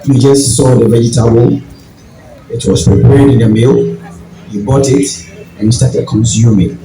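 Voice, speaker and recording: A man with a deep voice and a Ghanaian accent gives a public talk, deliberately, in short phrases and questions to the audience. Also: fairly even intonation. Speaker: guest speaker, farming group leader. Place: event venue with audience. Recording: distant, echoey hall with audience noise.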